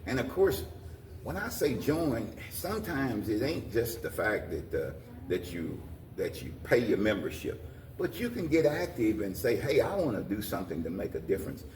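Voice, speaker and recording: An older American man with a low voice speaks in a roomy space, some distance from the mic. He gives folksy, exhorting interview answers, often circling back over his words. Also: very animated, normal speed, a little mellow, slight background noise. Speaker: guest, community organization president. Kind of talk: interview talk, plain-spoken encouragement.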